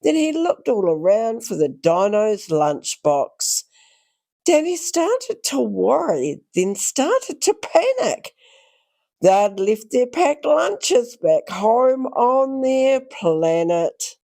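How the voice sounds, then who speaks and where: A woman reading a rhyming children's picture book aloud in a theatrical, expressive way, drawing out phrases for young listeners. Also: fairly high pitch, slow, gravelly. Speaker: children's story reader.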